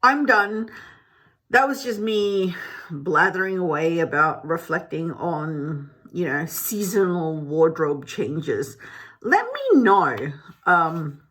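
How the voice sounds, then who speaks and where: A woman's voice: on the low side, big pitch swings, slow, a bit bright. A woman who grew up in Malaysia, chatting to camera at home in a rambling, casual way with many restarts. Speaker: beauty vlogger. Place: home, indoors.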